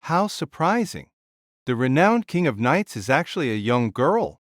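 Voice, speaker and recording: A man's voice: mid-range voice, very animated, moderate pace, dry recording, clean studio sound, close to an everyday voice. Man acting a boastful conqueror king, swooping delivery. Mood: amused. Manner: grand, amused, theatrical. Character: boastful, jovial bulky conqueror king spirit. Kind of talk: audio drama acting.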